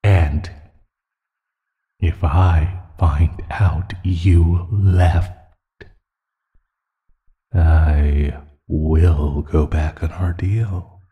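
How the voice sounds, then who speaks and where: A man's voice: rumbling low, phone-quality sound, heavily acted. A man playing a teasing, menacing naga predator in an ASMR roleplay, condescending and taunting in his delivery. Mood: cold threat. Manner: slow, taunting, condescending, with soft chuckles and sighs. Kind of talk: ASMR roleplay audio drama. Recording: long hollow reverb.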